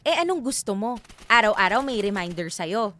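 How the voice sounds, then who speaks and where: A woman's voice: medium pitch, very animated, moderate pace, fairly bright tone. A woman with a Filipino accent playing an exasperated, demanding young girlfriend in radio drama dialogue, pointed and confrontational. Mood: irritated, confronting.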